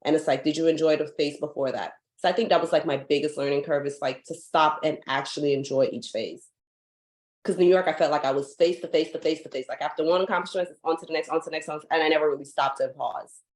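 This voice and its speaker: Low voice, young woman from guyana chatting over a video call, lots of "like", gets animated telling stories. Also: quick pace. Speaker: guest, foundation founder. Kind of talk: casual interview conversation. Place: home in Hawaii.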